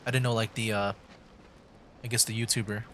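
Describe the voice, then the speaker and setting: A man streaming a video game with casual commentary, thinking aloud in hesitant, halting bursts. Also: fairly low pitch, level tone, fairly bright tone, a little hiss or hum.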